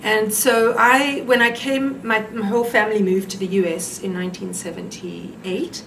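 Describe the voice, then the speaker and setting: A woman's voice: mid-range voice, steady tone, normal speed, vocal fry, a bit bright, distant mic, a little hiss or hum. South African woman recalling, echoey room. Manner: reflective, with fillers and restarts. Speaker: interview guest. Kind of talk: conversational interview.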